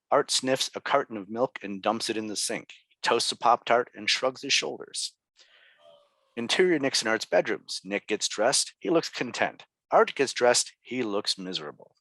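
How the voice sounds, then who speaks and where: A man's voice: fairly low pitch, fairly bright tone, no room sound. A man reading screenplay scene directions aloud at a table read, as the narrator. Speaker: reader of stage directions.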